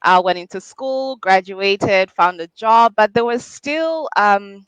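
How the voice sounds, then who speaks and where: A woman from Zambia with a Zambian accent, a podcast guest talking about her business in a lively way, often laughing. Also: mid-range voice, slow pace, clear, resonant tone, no echo, poor audio. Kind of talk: interview chat.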